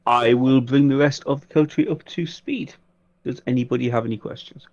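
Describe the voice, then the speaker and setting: A man's voice: mid-range voice, brisk, a little mellow, muffled recording. A man playing a tabletop roleplaying game, talking casually with the other players.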